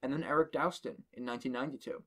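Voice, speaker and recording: A man's voice: medium pitch, steady tone, very fast, a bit bright, breathy edge. A young man hosting a podcast, reading a horror story aloud and stopping to joke with friends. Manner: reads the story in a plain voice, breaks off to joke and laugh. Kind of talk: casual reading and banter with friends.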